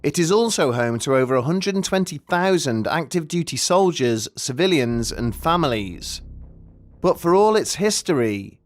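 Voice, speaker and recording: A man reading scripted mystery documentary narration in a measured, deliberate way with dramatic pauses. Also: on the high side, animated. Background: background music.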